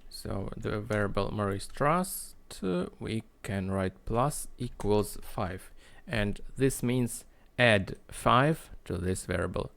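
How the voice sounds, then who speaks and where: A man narrating a screen-recorded coding tutorial in a halting, explanatory way. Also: lowish voice, lively intonation, vocal fry, fairly bright tone. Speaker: tutorial host.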